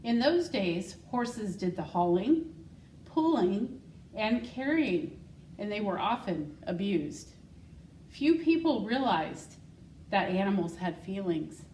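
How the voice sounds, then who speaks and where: A woman reading a children's picture book aloud with measured, deliberate phrasing. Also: medium pitch, sing-song, a little mellow. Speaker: school secretary, guest storybook reader. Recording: roomy, distant mic, faint noise.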